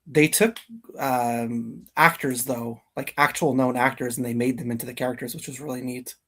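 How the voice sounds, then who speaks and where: Casual male co-host, slightly husky voice. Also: mid-range voice, steady tone, normal speed, a bit bright. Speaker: co-host of a video game countdown livestream. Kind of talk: casual unscripted chat.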